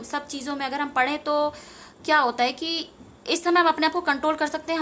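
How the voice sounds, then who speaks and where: A woman's voice: high-pitched, bright, forceful tone, slight background noise. A woman giving an informal spiritual talk to viewers, earnest and rambling, repeating phrases for emphasis. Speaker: tarot reader and meditation teacher.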